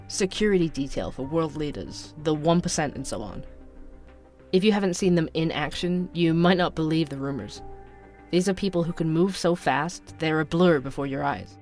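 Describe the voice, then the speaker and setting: An actor playing a sardonic, suspicious young night-shift barista who narrates a podcast in an audio drama, wry, conspiratorial and conversational. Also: male voice, on the high side, animated, normal speed, soft background music. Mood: wry, scornful.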